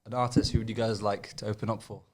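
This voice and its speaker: A man's voice: fairly low pitch, level tone, fast talker. A man hosting an interview, asking questions hesitantly with many fillers. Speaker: host. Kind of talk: casual interview chat.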